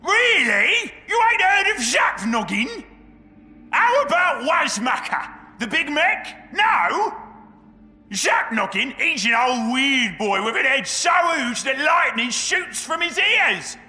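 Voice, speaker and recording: Shrill excitable ork underling. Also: male voice, slow pace, reverberant, raised voice, big-room sound, full character voice. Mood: excited, incredulous. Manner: crude slangy speech, exclaiming. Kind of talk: video game cutscene acting.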